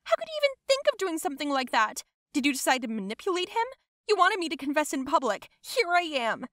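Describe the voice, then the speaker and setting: A woman's voice: on the high side, very animated, moderate pace, no room sound, clean studio sound. A woman voicing a spiteful, shrieking young female cousin in a dramatic story. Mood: furious.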